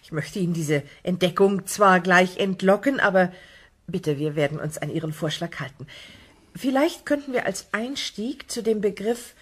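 A curious interviewer in a sci-fi audio drama, courteous, bit of a raspy voice. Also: female voice, fairly low pitch, lively intonation, moderate pace, a bit bright. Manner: courteous, probing. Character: inquisitive, polite female interviewer. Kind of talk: German sci-fi radio play, staged interview.

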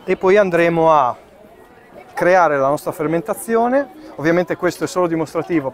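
Chef explaining a dish outdoors. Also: male voice, fairly high pitch. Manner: explanatory, thinking aloud with fillers while working. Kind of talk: live cooking demonstration. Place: outdoor tent in a park. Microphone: far camera.

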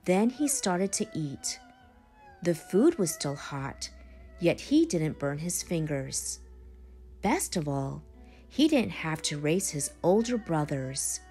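A woman with a lowish voice reads a children's storybook aloud. Her delivery is animated and swings widely in pitch, taken at an unhurried, measured pace. Music plays behind her. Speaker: children's book reader. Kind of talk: expressive storybook read-aloud. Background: background music.

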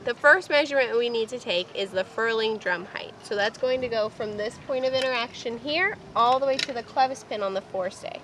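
A young woman with a high, bright voice cheerfully walks through measuring a sail. She is recorded close on a handheld camera outdoors on a boat in a boatyard, with faint background noise. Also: a little fast, hardly any pauses. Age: young adult. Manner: cheerful, clear instructions. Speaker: sailing vlog co-host. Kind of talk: casual how-to vlog.

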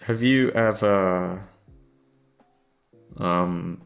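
Low-pitched man, gravelly, tired and downcast, rambling casual monologue, hesitant, sweary, music behind. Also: very slow. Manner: sweary, hesitant, self-deprecating. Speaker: audio creator talking to listeners. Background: soft background music.

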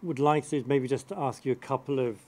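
A middle-aged man moderating a panel discussion, haltingly, often repeating his opening words. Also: mid-range voice, darkish tone. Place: conference room, indoors.

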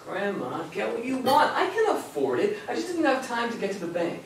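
A man's voice: extremely high pitch, wildly swooping pitch, fairly quick, creaky, exaggerated voice. A young man playing a dutiful, exasperated grandson in a live comic stage play. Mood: gentle. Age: young adult. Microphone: distant camera microphone in the audience. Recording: very echoey, distant, noisy stage recording.

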